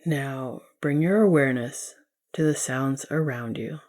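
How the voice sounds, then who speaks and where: A woman leading a guided yoga nidra meditation as a teacher, giving gentle, soothing instructions. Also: very deep voice, a little slow.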